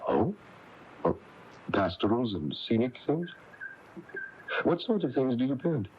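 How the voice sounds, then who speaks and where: Low-voiced minister, lively intonation, kindly, gently prying, radio drama, dull AM recording. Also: male voice, unhurried, a little hiss or hum. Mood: curious, encouraging. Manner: avuncular, coaxing, hesitant phrasing. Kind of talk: 1980s radio drama acting.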